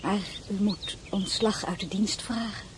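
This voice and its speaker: Actrice als ingetogen, waardige kroonprinses met gedempte stem. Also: female voice, mid-range voice, measured pace, a little hiss or hum, close to an everyday voice. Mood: grave, restrained. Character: crown princess, dignified, wistful, wary former marshal's wife. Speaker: lead actress and first-person narrator. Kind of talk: Dutch radio drama acting.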